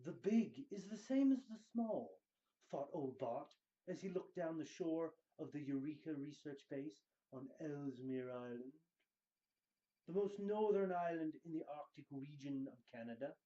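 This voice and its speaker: Theatrical man reading fiction, mellow tone. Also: a little high, animated, lo-fi sound. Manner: theatrical, deliberate emphasis with dramatic pauses. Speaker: reader of book excerpts. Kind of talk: dramatic scripted book reading.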